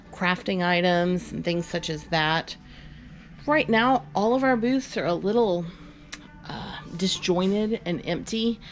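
A woman giving a chatty, upbeat walk-through of her booths in a large indoor antique mall, recorded on the camera she carries. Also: lowish voice. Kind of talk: walk-through tour vlog.

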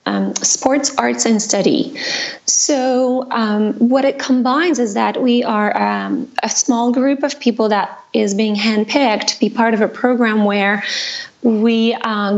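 A woman from Quebec with a Québécois French accent, French her first language, chatting as a podcast guest with many pauses and ums. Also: fairly high pitch, lo-fi sound. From Quebec, Canada. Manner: hesitant, many ums, warm laughing asides. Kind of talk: casual interview chat.

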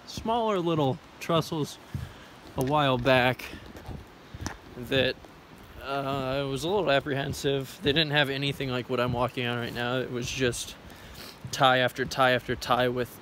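A man's voice: mid-range voice, fairly even intonation, a little slow, a bit bright. Casual young man vlogging outdoors, noisy audio. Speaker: hiking vlogger. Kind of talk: casual rambling vlog commentary while walking. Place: outdoors on a forest railroad trail. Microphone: phone camera at arm's length. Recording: noisy outdoor handheld recording.

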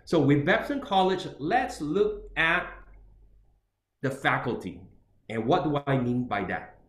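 A man's voice: fairly high pitch, animated, slow, a bit bright, roomy sound. A middle-aged man from Singapore, giving a webinar-style presentation as an international student advisor and often ending points with "all right?". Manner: repetitive tag questions, emphatic. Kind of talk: webinar presentation on choosing universities. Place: indoors with a virtual background.

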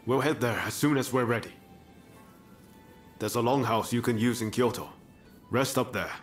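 An actor playing a bold rebel samurai in a video game cutscene, decisive and commanding yet friendly. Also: male voice, medium pitch, moderate pace, close to an everyday voice. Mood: businesslike. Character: bold rebel samurai rallying allies against bloodshed. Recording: game dialogue over clear background music.

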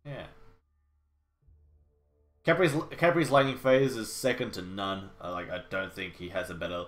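A man's voice: low-pitched, steady tone, unhurried, fairly bright tone. A young man with a cold and a nasal, blocked-nose voice, giving casual, sarcastic game commentary at home. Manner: sarcastic, swears casually, trails off and restarts. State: sick with a cold. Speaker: gaming video host. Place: home room with wooden floors.

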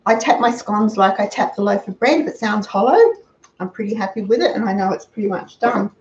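A woman's voice: mid-range voice, expressive, a little fast, a little hiss or hum. An older woman with an Australian accent, chatting through a cooking demonstration in her home kitchen and sharing practical money-saving tips. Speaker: host of a frugal cooking channel. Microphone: fixed camera across the counter. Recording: roomy, dull lo-fi sound.

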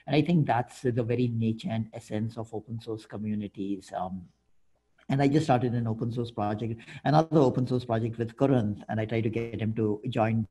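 Casting: middle-aged man, mellow tone, informal and hesitant, speaking on a video call. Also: mid-range voice, a little fast, slightly rough, low-quality recording. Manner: hesitant, often ends phrases with "right?". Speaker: guest speaker, open source project founder. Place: indoor room, video call. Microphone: wired earphones on a video call.

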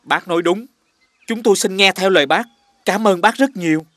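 A man voicing a distressed, indignant father toad in an animated fairy tale. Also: high-pitched, brisk, no room sound. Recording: loud music under the voice.

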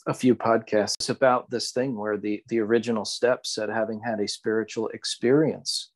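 A man co-hosting a podcast in casual, reflective discussion, halting as he searches for words. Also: on the low side, little pitch movement. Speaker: podcast co-host.

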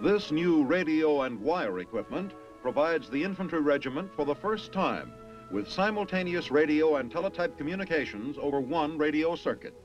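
An American man narrates in the formal style of a 1950s military documentary. His voice sits a little high and he phrases each line with measured emphasis. The sound is a dull old film track, with music clearly playing behind him. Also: lively intonation.

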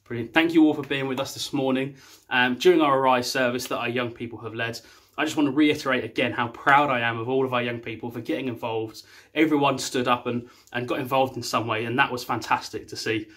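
A man's voice: medium pitch, steady tone, quick pace, fairly bright tone. A young man, a youth worker, giving earnest closing remarks and a prayer from a small room at home, with fillers and restarts.

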